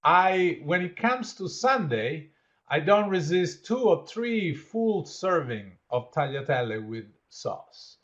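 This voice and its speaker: A man's voice: fairly high pitch, sing-song, slow pace, fairly bright tone, muffled recording. A man with an Italian accent, born in Italy, leading an online training session and praising participants' answers. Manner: encouraging, repeats "absolutely", reads out participants' answers. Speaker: workshop trainer.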